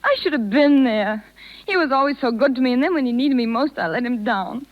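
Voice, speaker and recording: Young female circus rider, American, tender and earnest, very animated high voice, muffled old radio sound. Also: brisk. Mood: grieving. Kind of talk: 1930s radio drama acting. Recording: muffled 1930s radio broadcast recording.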